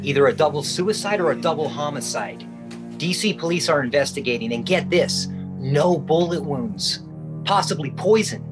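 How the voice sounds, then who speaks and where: Man playing eager government agent, radio play, determined, clipped, slightly high pitch, animated. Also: moderate pace, music in the background, lightly acted. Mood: urgent. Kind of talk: comedic radio play acting.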